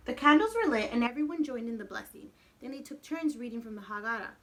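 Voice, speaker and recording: High-voiced woman reading a storybook aloud. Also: quick pace. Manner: expressive, addresses small children directly. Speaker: teacher reading a picture book to young children. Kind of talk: animated storybook read-aloud with questions to the children.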